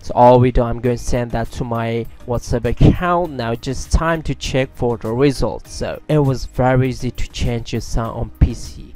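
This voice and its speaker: A man's voice: medium pitch, normal speed, few pauses, warm tone, soft background music. A man, narrating a casual tech tutorial as its host.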